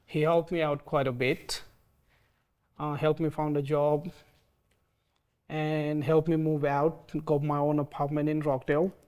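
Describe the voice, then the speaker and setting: A man in his thirties from Nepal with a Nepali accent, speaking hesitantly with many pauses in an oral history interview. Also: on the high side, little pitch movement, clear, resonant tone. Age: 30s. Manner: soft-spoken, hesitant, frequent ums.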